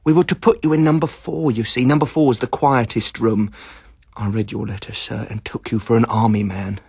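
A man's voice: medium pitch, steady tone, fairly quick, vocal fry, a little mellow, husky, no room sound, studio quality. A man voicing an earnest teenage boy, a landlady's son, polite and confiding, in an audiobook. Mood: apologetic.

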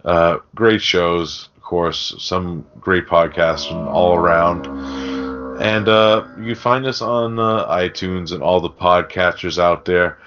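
Podcast host, male, deep voice, informal and chummy, slightly muffled recording. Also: fairly bright tone. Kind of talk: casual podcast chat.

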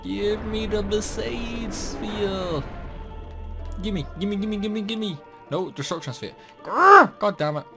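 A man's voice: sits high, animated, takes it slowly. A chatty man giving casual playthrough commentary, playfully talking to the game's characters. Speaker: gaming video host. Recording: music behind the voice. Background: game music.

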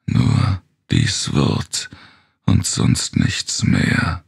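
A man with a gravelly, husky voice gives a brooding, theatrical recitation of gothic horror verse, sometimes sinking toward a whisper. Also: rumbling low, sing-song, extremely slow, dry recording, studio quality. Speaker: narrator.

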